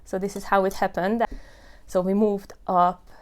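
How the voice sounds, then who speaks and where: Smooth-voiced woman in her thirties. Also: medium pitch, little pitch movement, moderate pace. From Hungary. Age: 30s. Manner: earnest, with many fillers like "you know" and "uh". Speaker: tenant telling her story. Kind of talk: personal testimony.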